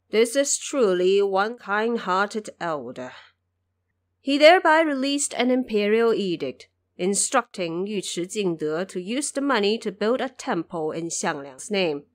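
A woman reads the narration of an audio drama series. Her delivery is measured and scripted, like a storyteller. Her pitch sits in the middle.

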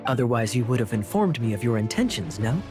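An actor playing a composed, sly male knight captain in a video game, teasing with smooth, ironic politeness. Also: medium pitch, very animated, fast talker, close to an everyday voice. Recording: music behind the voice.

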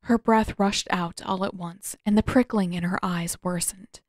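A woman reading scripted fiction aloud as a narrator, with expressive, dramatic delivery. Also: fairly low pitch, a little slow, dry recording, clean studio sound. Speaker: audiobook narrator of fan fiction.

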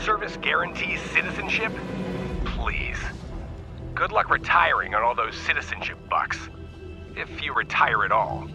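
A greedy veteran mercenary in a video game. His voice is gravelly and fairly high, with wry, sarcastic delivery. Loud music sits behind him. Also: lively intonation, normal speed. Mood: mocking. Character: cocky, greedy male mercenary engineer, sardonic veteran. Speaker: video game character, mercenary engineer leader.

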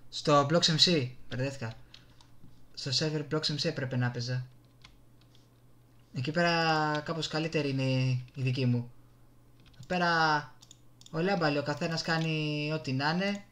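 A man's voice: fairly high pitch, pauses often, fairly bright tone. A man with a Greek accent giving casual, exasperated gameplay commentary, with some swearing, into a desktop microphone. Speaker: gaming video host. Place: indoors at a computer.

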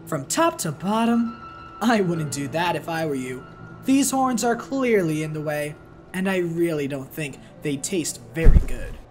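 A woman's voice: low-pitched, very animated, unhurried. A woman playing a cocky young male sheep student, flippant and teasing, in a manga dub. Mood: taunting. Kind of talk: manga voice-over acting. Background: music.

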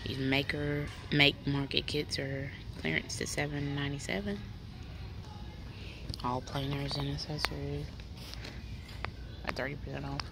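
Woman, low voice, chatty shopping vlog, reading prices aloud, phone mic, steady store noise behind. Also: a little slow, vocal fry, pauses often. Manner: reads out prices, chatty and practical. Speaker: shopping vlogger. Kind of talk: walk-through store haul narration. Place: large indoor retail store. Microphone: handheld phone camera. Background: store ambience.

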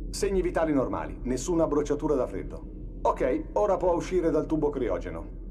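Hurried polite army tech in a game, highish voice, clear noise behind him. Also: male voice, big pitch swings. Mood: brisk, courteous. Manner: clipped, polite, procedural. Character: brisk, deferential military cryo technician, adult man, harried. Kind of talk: video game voice acting.

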